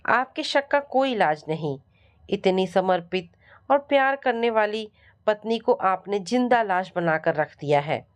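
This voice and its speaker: Woman playing an indignant young son, slow-spoken. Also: medium pitch, lively intonation, natural voice. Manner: firm, indignant. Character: protective young adult son, indignant and defiant.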